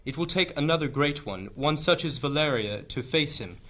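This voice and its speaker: An actor playing a grave, earnest church leader, speaking in measured, oratorical fashion in a fantasy audio drama. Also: male voice, a little high, expressive, bright, forceful tone. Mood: solemn confiding. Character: solemn male high church leader, earnest and weighty.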